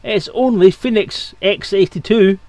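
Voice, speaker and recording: A man's voice: sits high, measured pace, a little mellow, no room sound. A man hosting a live stream and running a giveaway in casual, tongue-in-cheek, occasionally profane chat.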